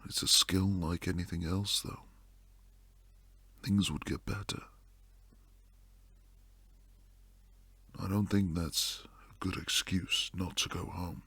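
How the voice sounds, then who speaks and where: A man playing a protective, devoted bodyguard and secret lover in an intimate boyfriend roleplay, low and husky, speaking earnestly close to the mic. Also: a bit bright, no room sound, full character voice. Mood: gentle, firm. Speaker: audio roleplay performer. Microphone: close, dry studio mic.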